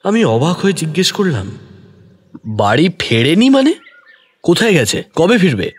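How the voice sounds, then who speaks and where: Guy reading a detective story dramatically, pitch moves a lot, bit of long reverb on his voice. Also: very animated, normal speed. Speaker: narrator who also voices the young detective. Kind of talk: dramatic first-person audiobook narration of detective story.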